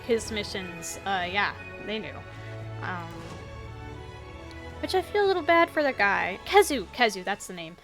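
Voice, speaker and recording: A woman with a gravelly voice, chatting casually and a little self-deprecatingly while she plays a video game. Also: medium pitch, fairly bright tone. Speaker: gaming streamer. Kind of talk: casual commentary while playing. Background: game music.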